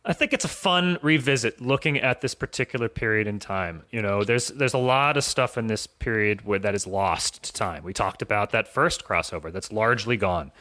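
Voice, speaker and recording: A man's voice: fairly low pitch, moderate pace, hardly any pauses, pushed and bright. A man speaking as a podcast guest, giving conversational analysis in an explanatory, self-rephrasing way. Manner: explanatory, often rephrasing with "you know".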